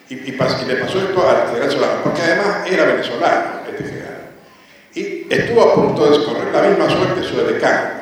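A man giving an anecdotal history lecture at a conference, often posing questions to his listeners and answering them himself. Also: on the high side. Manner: rhetorical questions, re-enacts dialogue. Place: large echoey hall at a conference. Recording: echoey hall, distant microphone.

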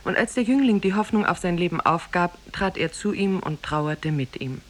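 An actress as the narrator of an Arabian fairy tale, grave and measured in 1950s radio storytelling style. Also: female voice, fairly low pitch, expressive, lightly acted. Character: solemn female teller of an Arabian Nights tale. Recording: 1950s radio recording from cassette.

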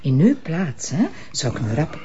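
An actress playing a fed-up, sarcastic wife in a crime drama, with a Flemish accent and a mocking but firm delivery. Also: female voice, deep voice, very animated, moderate pace, warm tone, lo-fi sound, a little hiss or hum. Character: fed-up, sarcastic wife banishing her tipsy partner. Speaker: actor. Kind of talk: naturalistic TV crime drama dialogue.

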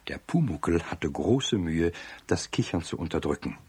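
A man's voice: sits low, sing-song, measured pace, darkish tone, breathy edge, heavily acted. A man as an amused, friendly narrator telling a children's radio story in scripted prose. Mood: amused narration.

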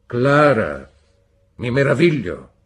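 A man voicing a stern, severe middle-aged woman, shrill and imperious. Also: wildly swooping pitch, moderate pace, slightly rough, exaggerated voice. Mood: indignant.

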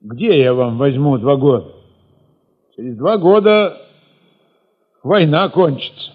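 An actor playing a gruff, blustering army commissar in an audio drama, speaking in emphatic exclamations. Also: male voice, medium pitch, long reverb tail, phone-quality sound. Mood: exasperated, challenging. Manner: exclamatory, emphatic, drawn-out address. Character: gruff, blustering army regimental commissar, protesting then relenting.

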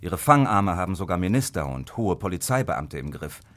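An actor playing a wary, greedy Victorian opium addict confiding secrets in a radio crime drama, with theatrical, deliberate emphasis. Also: male voice, low-pitched, big pitch swings, a bit bright. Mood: grim, secretive.

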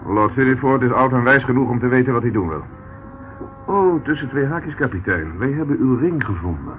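An actor playing a sardonic, dogged police inspector in a radio drama, mocking and needling with mock politeness. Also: male voice, mid-range voice, lively intonation, brisk, close to an everyday voice. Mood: sardonic, probing. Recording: tinny, noisy old radio play recording.

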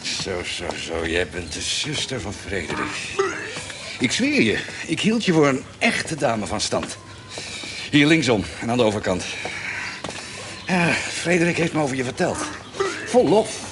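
A man's voice: medium pitch, wildly swooping pitch, a little slow, pauses often. An actor playing a roguish, wary swindler and womaniser in a radio drama, with a wry, musing delivery. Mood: amused. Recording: clear background noise.

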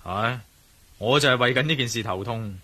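An actor playing a troubled, suspicious adventurer-investigator in a Hong Kong Cantonese radio drama, speaking conversationally with sighs. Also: male voice, medium pitch, moderate pace, bright, forceful tone. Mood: troubled, serious. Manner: sighing, conversational.

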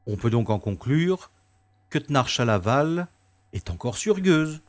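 An actor playing a wry, world-weary special agent in a science-fiction audio drama, with deliberate, slightly pompous phrasing. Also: male voice, medium pitch, big pitch swings. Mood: wry, confident.

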